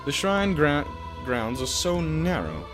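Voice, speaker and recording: Male let's player, very lively pitch, casual and sweary, jokey asides, game music clearly behind. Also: takes it slowly. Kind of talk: casual gameplay commentary reading visual novel text aloud.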